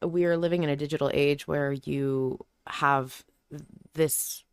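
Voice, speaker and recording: A woman's voice: deep voice, fairly even intonation, unhurried, smooth, no echo. A woman hosting a podcast in casual conversation, talking informally and emphatically with blunt interjections. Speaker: podcast host.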